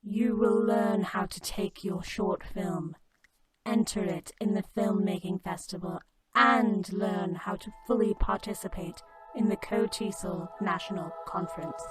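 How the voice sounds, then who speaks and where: A serene, otherworldly being played by a woman makes slow, measured pronouncements like an oracle. The radio-play voice sits in loud music. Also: mid-range voice, natural voice, rough recording. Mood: solemn, prophetic. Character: Unity, serene otherworldly being merging two pills.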